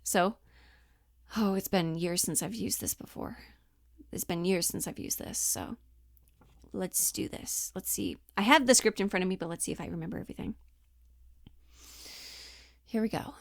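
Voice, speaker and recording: A woman's voice: fairly low pitch, normal speed, creaky, long pauses, no room sound, clean studio sound. A woman giving a casual, explanatory acting lesson, chatty and informal, often thinking aloud as she goes. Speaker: acting coach.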